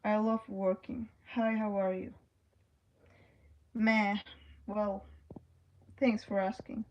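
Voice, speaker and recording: A young woman livestreaming, reading chat messages aloud and answering them hesitantly, with many pauses and fillers. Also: medium pitch, little pitch movement, darkish tone, muffled recording.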